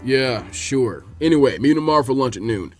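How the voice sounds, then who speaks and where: Man as tired male ninja mentor, lowered pitch, gruff, dry, sardonic, story reading, music behind. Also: animated, fairly quick, a little mellow, natural voice. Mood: laid-back. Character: weary, sardonic adult male ninja teacher.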